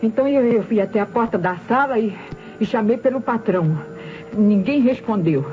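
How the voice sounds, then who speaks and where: A woman's voice: on the high side, expressive, normal speed, lightly acted. A woman playing a nervous, deferential housemaid in an old radio mystery, stammering and repeating herself with a Brazilian accent. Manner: stammering, repetitive, servile. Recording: muffled old radio recording, music.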